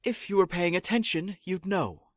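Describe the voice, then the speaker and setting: A man's voice: sits high, normal speed, a bit bright, no room sound. Man playing an officious, exasperated space-programme assistant, dry sound. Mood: curt, condescending. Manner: sarcastic, curt, formal, condescending. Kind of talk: comedy sci-fi audio drama acting.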